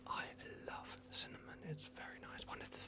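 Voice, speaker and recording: A performer voicing a soft-spoken, bumbling vampire doctor in an ASMR roleplay, whispering hesitantly and repeating reassurances. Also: a little fast, lightly acted. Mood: pleased, reassuring. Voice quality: whispered, creaky. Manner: hushed, hesitant, repetitive, reassuring.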